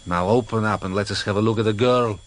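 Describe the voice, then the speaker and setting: An actor playing a cultured, mockingly courteous older foreign interrogator, formal and deliberate, in a vintage radio drama. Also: male voice, fairly low pitch, close to an everyday voice. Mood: coldly courteous. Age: middle-aged or older. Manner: formal, deliberate, mock-polite. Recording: slightly noisy vintage radio recording.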